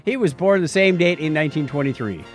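A man's voice: a little high, animated, fast, faint music behind. A man hosting a podcast in a casual, chatty style, bantering and joking as he goes. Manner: jokey, chatty. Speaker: podcast host. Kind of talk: casual news and birthdays banter.

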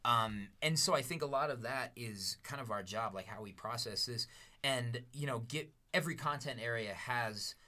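A man co-hosting a teaching podcast, talking in an earnest, reflective way with easy laughter. His voice is bright and clear at a middling pitch, and he comes from Denver. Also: moderate pace. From Denver, USA. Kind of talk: casual podcast chat.

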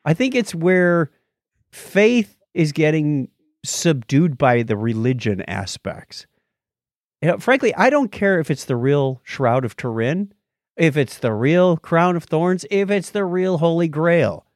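A man co-hosting a podcast in casual conversation, animated, often stammering and restarting his phrases. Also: a little high, a little slow, no echo, studio quality. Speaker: podcast co-host.

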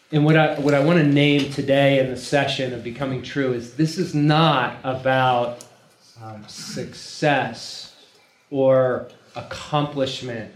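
Man preaching informally in an echoey room. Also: mid-range voice. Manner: conversational, emphatic, repeats phrases for weight. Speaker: preacher leading a teaching session. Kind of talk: informal sermon to a live group. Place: room, speaking live to a gathering. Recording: echoey room with background noise.

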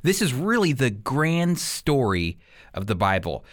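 A man's voice: mid-range voice, very animated, measured pace, slight background noise. An American man teaching the Bible as a radio host, speaking earnestly and emphatically in a conversational preaching style. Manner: earnest, emphatic, rhetorical questions.

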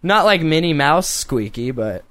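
A man's voice: on the high side, sing-song, measured pace, fairly bright tone, no room sound. A man co-hosting a casual talk radio show, joking and slipping into mocking put-on voices.

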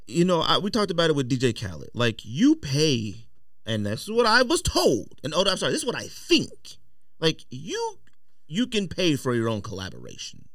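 Male podcast host, very expressive slightly high voice, casual slang, strong opinions. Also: dry recording, studio quality.

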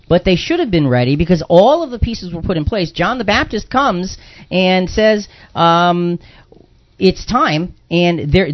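A man's voice: fairly high pitch, no room sound. A man hosting a conversational Bible discussion on the radio, emphatic and circling back over phrases as he builds a point. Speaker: radio show host. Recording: slightly dull, band-limited radio sound.

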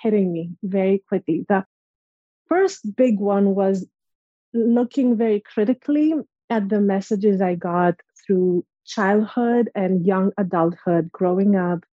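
A woman's voice: mid-range voice, a little slow, dark tone, no room sound, lo-fi sound. A young woman from Greece telling a personal story as a podcast guest, earnest and reflective. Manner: earnest, reflective, acts out quoted voices.

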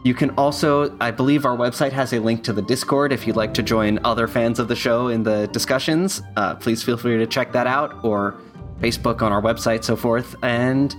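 A man's voice: mid-range voice, steady tone, moderate pace. A man with a mid-range voice co-hosts a podcast in a casual, conversational way. He moves between chat and announcements, with faint music behind him. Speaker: podcast co-host.

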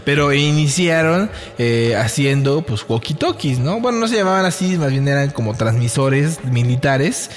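A man's voice: mid-range voice, animated, normal speed, hardly any pauses, big-room sound, faint music behind. A man with a Mexican accent co-hosting a podcast in casual chat, jokey, rambling and slangy. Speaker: podcast co-host.

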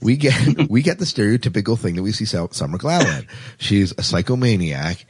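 A man's voice: on the low side, lively intonation, fairly quick, slight background noise. A man co-hosting a podcast in casual chat, talking enthusiastically and repeating words for emphasis. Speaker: podcast co-host. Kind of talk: casual chat about sci-fi TV.

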